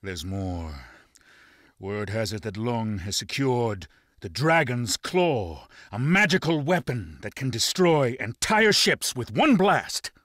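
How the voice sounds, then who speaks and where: An actor playing a shrewd, commanding pirate lord in a video game, speaking in a measured, menacing and authoritative way. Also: male voice, mid-range voice, sing-song, a bit bright, dry recording, clean studio sound, heavily acted. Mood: grave. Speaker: video game character giving mission briefings. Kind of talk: dramatic game cutscene acting.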